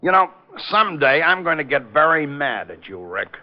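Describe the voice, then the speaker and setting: An actor playing a weary, long-suffering police lieutenant who grumbles in exasperation, in 1940s radio drama style. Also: male voice, fairly high pitch, very animated, normal speed. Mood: grumbling. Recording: muffled, noisy old radio recording.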